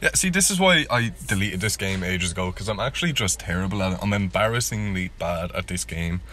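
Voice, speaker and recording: A man with a deep voice hosts a gameplay video. He talks casually and live, poking fun at his own skills and repeating himself when flustered. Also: expressive, brisk, bright, forceful tone, slight background noise. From Ireland. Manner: self-deprecating, repeats words when flustered. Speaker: gaming video host.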